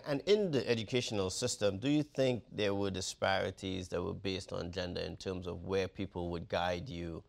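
A man's voice: low voice, fairly even intonation, normal speed, gravelly, hardly any pauses. A man hosting an interview podcast, asking questions with many fillers and restarts. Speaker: university president, host.

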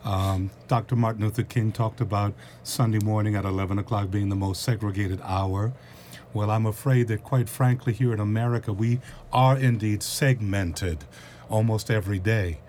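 Pastor, male, low voice, slow measured delivery, faint noise. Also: fairly even intonation, darkish tone. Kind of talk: panel discussion.

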